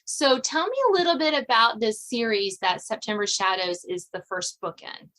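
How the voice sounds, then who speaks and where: Bright-voiced woman hosting a podcast. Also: fairly high pitch, normal speed. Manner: chatty, self-deprecating. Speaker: podcast host. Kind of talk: casual interview chat.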